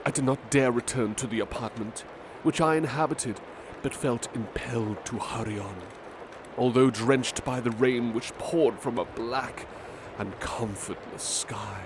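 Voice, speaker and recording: A man giving a measured, gentle ASMR story reading, playing a butler reading aloud, over loud street ambience. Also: medium pitch, noisy background.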